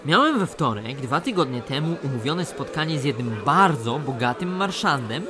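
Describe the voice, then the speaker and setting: A man's voice: a little high, sing-song, moderate pace, a crowd in the background. An actor playing a put-upon, chatty painter complaining about his aunt in a radio play. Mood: exasperated, recounting. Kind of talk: radio play acting, storytelling.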